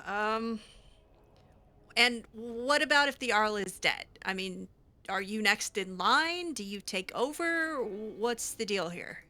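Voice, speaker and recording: A woman doing casual, improvised in-character roleplay during a game, with an expressive, sassy, blunt manner. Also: mid-range voice, slow pace. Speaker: gameplay roleplayer voicing own character's replies.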